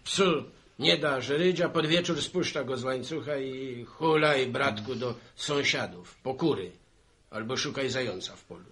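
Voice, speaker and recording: A man's voice: medium pitch, measured pace, a bit bright. A man acting a matter-of-fact, argumentative village postman in a 1960s Polish radio serial. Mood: insistent, explaining. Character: Wiktor, village postman bringing bad news to neighbours.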